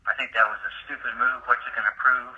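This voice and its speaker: A man's voice: mid-range voice, little pitch movement, fast talker, slightly rough. A man calling in as a fan, leaving a blunt, indignant rant on a phone voicemail. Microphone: telephone. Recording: thin, tinny phone voicemail.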